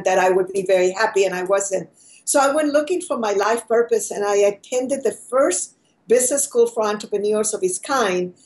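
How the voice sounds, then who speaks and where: A woman's voice: mid-range voice, a little mellow, low-quality recording. An older woman from Chile, speaking earnestly as a guest over a video call, telling her story in a reflective way.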